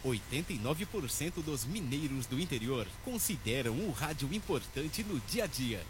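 A man's voice: mid-range voice, normal speed. A man with a Brazilian accent reading a persuasive radio promo. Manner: persuasive, lively sales read. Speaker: announcer. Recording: clear background noise.

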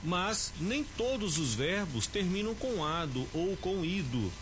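A man's voice: high voice, sing-song, slow pace, background noise. A man, a language teacher giving a short grammar tip on the radio and speaking straight to the listeners. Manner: explanatory, addresses listeners as "gente".

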